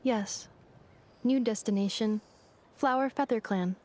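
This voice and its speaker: Woman as a high-voiced, formal robot girl. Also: a little slow, darkish tone, slight background noise, lightly acted. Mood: obedient. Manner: formal, clipped, procedural. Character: polite female robot, literal and dutiful. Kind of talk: video game voice acting.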